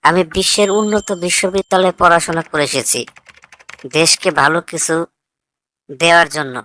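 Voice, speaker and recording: A woman's voice: lowish voice, moderate pace, fairly bright tone, natural voice. A woman voicing a defiant, idealistic young graduate in a cartoon, arguing in a sarcastic, lecturing way. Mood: firm. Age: young adult. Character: defiant, idealistic grown child of a politician, foreign-educated. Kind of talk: scripted cartoon dialogue.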